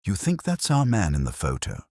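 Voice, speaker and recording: A man voicing an earnest, sharp young police sergeant who talks in a questioning, earnest way, recorded close on a studio mic. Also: low voice, huge pitch swings, a little fast, breathy edge, dry recording, pitched above the performer's own voice, full character voice.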